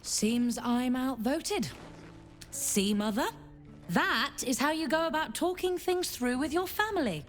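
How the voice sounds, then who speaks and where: A woman playing a brisk, bossy grown daughter in a video game, blunt and teasing but fond underneath. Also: high-pitched, expressive, soft background music. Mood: stern, teasing. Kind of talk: video game dramatic acting.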